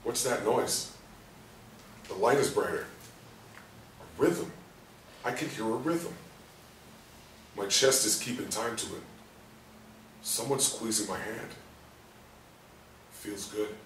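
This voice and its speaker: An actor in a play reading voicing a frightened, confused paralysed man's inner thoughts in halting, anguished bursts. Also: mid-range voice. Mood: bewildered. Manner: halting, anguished self-talk in short bursts. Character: frightened, confused man trapped in his paralysed body, sweary. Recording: roomy, distant mic, faint noise.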